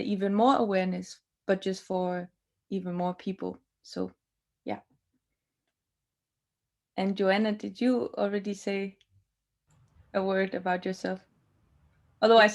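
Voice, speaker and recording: A woman project manager introducing herself on a panel, soft-spoken and reflective. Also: mid-range voice, vocal fry.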